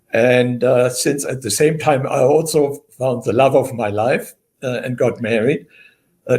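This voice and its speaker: Older man, German accent, academic guest, halting and measured delivery. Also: medium pitch, darkish tone. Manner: measured, many "uh" fillers. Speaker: guest historian. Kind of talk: interview answers, lecturing.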